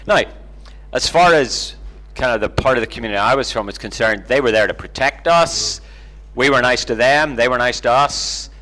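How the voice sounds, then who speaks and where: A man's voice: medium pitch, moderate pace, bright, forceful tone, rough recording. A middle-aged man with a Northern Irish accent, telling personal stories in a conversational, self-deprecating way as an interview guest. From Northern Ireland, near Belfast. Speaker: interview guest, Bible teacher. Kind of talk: informal personal storytelling.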